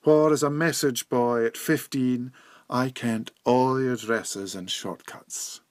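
A man with a Scottish accent reciting poetry in Scots dialect, measured and with dramatic pauses. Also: medium pitch, fairly even intonation, dry recording, studio quality. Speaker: poetry reader.

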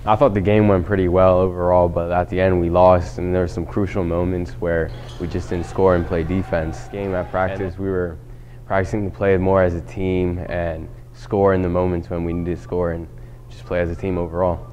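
Low, flat teen boy athlete. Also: moderate pace, slight background noise. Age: teens. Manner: flat, plain answers. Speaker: basketball player. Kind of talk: post-game interview.

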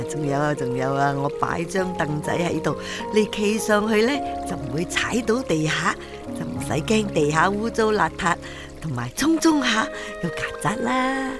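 A woman's voice: fairly low pitch, expressive, measured pace. Coaxing, doting old grandmother. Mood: fussing, caring. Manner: coaxing, repeats herself soothingly. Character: doting elderly grandmother, patient and fussing. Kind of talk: radio drama acting. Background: music behind the voice.

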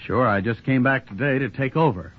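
A man's voice: mid-range voice, brisk, lightly acted. An actor playing a friendly, easygoing young man in 1940s radio style. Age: young adult. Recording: muffled vintage 1940s radio broadcast.

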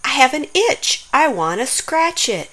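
A woman's voice: high-pitched, very animated, a little slow, a bit bright, voice pushed up, exaggerated voice. A woman voicing a feisty, indignant little pterodactyl girl who protests, in a children's storybook. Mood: itchy.

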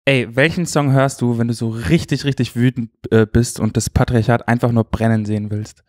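A man's voice: mid-range voice, fairly even intonation, brisk, darkish tone. A man interviewing a musician in a casual, teasing and enthusiastic way. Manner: teasing, enthusiastic, cheers her on.

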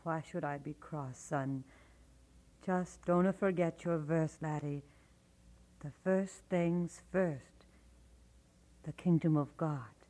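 An actress playing a tender, devout Scottish mother, gentle and soothing, in an old radio drama. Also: sits low, slow, pauses often. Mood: tender, reassuring.

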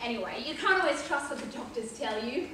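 An actress playing a prim, patient elderly care-home resident in a stage play, reproving and matter-of-fact. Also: female voice, a little high, animated, quick pace, pushed and bright. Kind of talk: amateur stage play acting. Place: theatre stage, indoors. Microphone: distant camera microphone. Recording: echoey theatre stage from far off, faint noise.

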